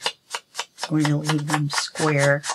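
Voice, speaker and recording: Flat-voiced woman chatting while crafting. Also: sits low, extremely slow. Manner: chatty, talks to herself while working. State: a little sniffly. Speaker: craft tutorial host. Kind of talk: casual crafting tutorial narration. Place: craft table at home. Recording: noisy home recording.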